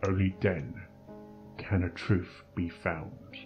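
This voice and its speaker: A man voicing a stern, menacing pony doctor in a grim, deliberate, threatening way for a dramatic fan fiction reading. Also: low voice, lo-fi sound, soft background music. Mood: grim, firm.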